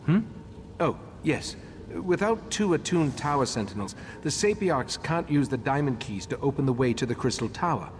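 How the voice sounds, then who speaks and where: An actor playing a scholarly, pensive elf ritemaster in a fantasy video game, formal and musing. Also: male voice, medium pitch, lively intonation, normal speed, natural voice. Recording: long hall reverb, faint background noise.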